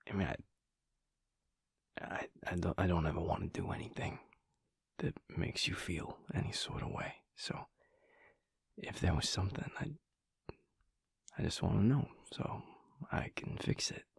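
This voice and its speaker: Man playing a husky, whispery, flirty werewolf. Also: animated, measured pace, pauses often, no room sound, studio quality, exaggerated voice. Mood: earnest, hesitant. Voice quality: husky, breathy low murmur. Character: flirty, feisty male werewolf, tender and earnest underneath. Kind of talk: intimate romantic audio roleplay.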